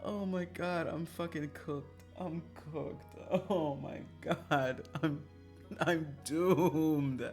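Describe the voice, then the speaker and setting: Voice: mid-range voice, steady tone, extremely slow, darkish tone, faint music behind. A streamer chatting casually on a livestream in a joking, self-mocking, dramatic way, swearing freely.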